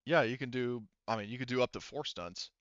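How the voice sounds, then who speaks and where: A man acting as game master for a tabletop game over voice chat, pausing often with ums as he works through the rules. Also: mid-range voice, fairly bright tone, smooth, no echo, highs cut off. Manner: halting, many ums and restarts while narrating rules. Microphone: headset.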